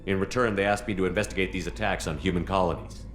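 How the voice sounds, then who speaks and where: An actor playing a decisive human commander who speaks calmly and matter-of-factly. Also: male voice, low voice, over music, lightly acted. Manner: calm, brisk, matter-of-fact.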